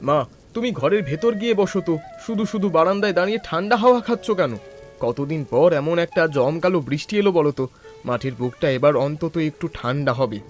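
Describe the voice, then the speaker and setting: A man voicing a helpful, easygoing young village son and neighbour in an animated family drama. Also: on the high side, quick pace, faint music behind, close to an everyday voice. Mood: cheerful, reassuring.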